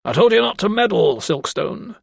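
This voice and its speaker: A man voicing an imposing gentleman of rank who talks in a brusque, barking way. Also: sits high, sing-song, normal speed, creaky, slightly rough, dry recording, clean studio sound, voice pushed up, exaggerated voice. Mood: commanding.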